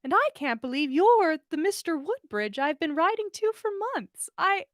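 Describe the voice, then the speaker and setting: A woman's voice: extremely high pitch, sing-song, normal speed, a bit bright, no room sound, clean studio sound, pitched above the performer's own voice, exaggerated voice. A woman voicing a dreamy, earnest young woman with a solemn, honest manner in a story reading. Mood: tender, shy. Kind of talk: fan fiction story reading.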